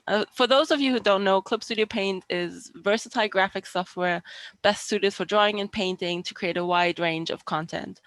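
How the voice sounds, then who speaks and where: Speaker reading a software blurb, dry sound. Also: medium pitch, no room sound. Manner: hesitant at first, then reads a script. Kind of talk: scripted product introduction. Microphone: video call.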